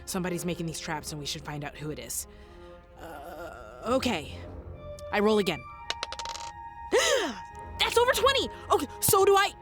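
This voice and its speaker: An actress playing an eager, excitable player rolling dice in a comedy tabletop game. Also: female voice, on the low side, moderate pace, long pauses, over music. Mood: excited. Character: eager young woman gamer, excitable.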